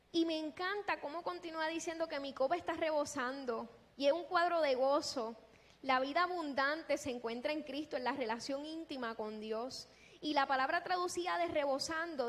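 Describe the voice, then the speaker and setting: A woman preaching a sermon in a deliberate, emphatic way, posing rhetorical questions. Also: high-pitched. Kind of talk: sermon with scripture exposition. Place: large echoing church hall. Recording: long reverberant hall sound.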